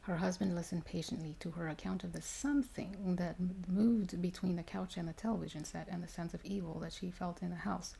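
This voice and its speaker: A woman reading a ghost story aloud in a hushed, measured ASMR style, with occasional stumbles. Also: on the low side, steady tone, vocal fry, slight background noise, low-quality recording. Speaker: story reader.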